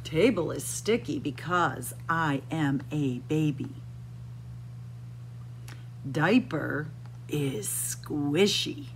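A middle-aged woman reading a picture book aloud to young children in a deliberate, expressive, sing-song way, recorded indoors. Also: sits low, a little hiss or hum. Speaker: children's library storyteller. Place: indoors in a library room with bookshelves.

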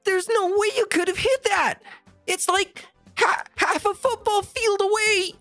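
A woman's voice: very high voice, a little slow, a bit bright, no echo, faint music behind, heavily acted. A woman playing a cocky young boy, an older brother, in an audio drama.

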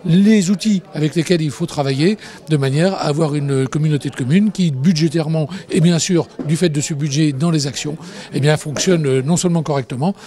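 A man's voice: on the high side, brisk, warm tone. A man in his fifties with a French accent answering an interview as a local official, hesitantly and repeating words as he explains. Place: large meeting hall, indoors. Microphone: handheld interview microphone.

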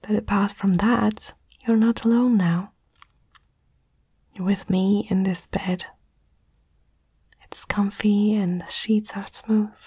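A woman whispering softly and tenderly in a breathy voice, in a reassuring ASMR comfort roleplay, close to the microphone. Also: mid-range voice, steady tone, takes it slowly, pauses often, no room sound.

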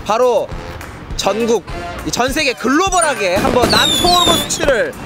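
A man's voice: very high voice, big pitch swings, normal speed. A man in his thirties hosting a casual vlog with group banter. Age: 30s. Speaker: YouTube channel host. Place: city street outside a subway exit. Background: music.

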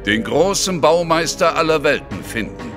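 An actor playing a devout, servile hooded lodge brother in a German audio drama, solemn and ceremonial. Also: male voice, a little high, big pitch swings, normal speed. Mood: solemn, reverent. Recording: cavernous reverb, music behind.

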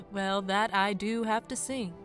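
Slow deliberate speech, woman acting as big female dragon chief, dry, composed, audio drama. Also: medium pitch, lightly acted. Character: large female dragon leader, composed, dryly amused.

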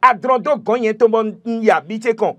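A man's voice: extremely high pitch, normal speed, fairly bright tone, muffled recording. A middle-aged Beninese man speaking emphatically and repetitively as a traditional healer promoting himself on a TV talk show. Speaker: traditional healer, studio guest. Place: TV studio. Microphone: lapel mic.

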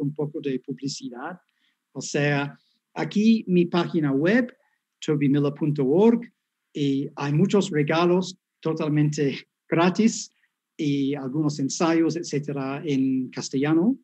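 A man's voice: fairly high pitch, measured pace, darkish tone, slightly rough. A man in his fifties, a native English speaker with a Spanish picked up in Mexico and coastal Colombia, giving a chatty, self-deprecating lecture over a video call. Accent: English speaker's Spanish with Mexican and Colombian street touches. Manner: self-deprecating, chatty, frequent tag questions. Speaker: guest professor.

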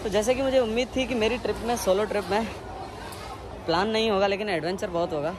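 A man's voice: high voice, quick pace. Young male travel vlogger, chatty selfie talk to camera, busy station din behind. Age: young adult. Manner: chatty, addresses viewers directly. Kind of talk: casual vlog narration to camera. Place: busy railway station platform, outdoors under a roof. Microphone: wired earphone mic, phone held at arm's length. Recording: loud crowd and station noise.

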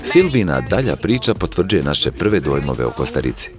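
A man narrating a travel documentary as a scripted voice-over, in a measured storytelling way. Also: extremely low pitch, sing-song. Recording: voice-over with music behind.

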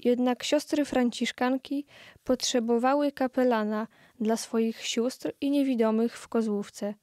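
A woman narrates a historical audio play at an unhurried, slow pace. Her voice is soft-toned and mellow, recorded with almost no room echo. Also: a little high, steady tone, clean studio sound.

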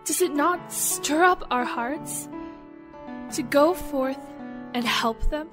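A woman's voice: high voice, takes it slowly, music in the background. A woman giving a dramatic scripted reading of a quotation.

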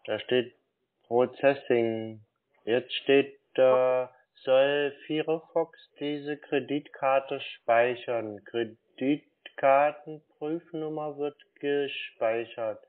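Slow, bumbling man on the phone. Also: medium pitch, steady tone, narrow, tinny audio. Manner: feigned confusion, naive, literal-minded. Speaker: scambaiter playing a naive customer. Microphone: telephone call.

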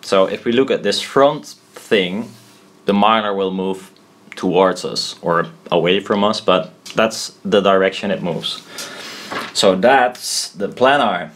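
A man giving an informal tutorial at a desk, thinking aloud in a halting, self-deprecating way. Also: sits low, a bit bright. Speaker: presenter explaining loudspeaker technology. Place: indoors at a desk.

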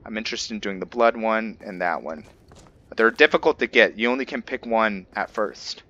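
A man giving casual gameplay commentary as host, thinking aloud in a halting way. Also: on the low side, sharp tone, highs cut off. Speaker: gaming video host.

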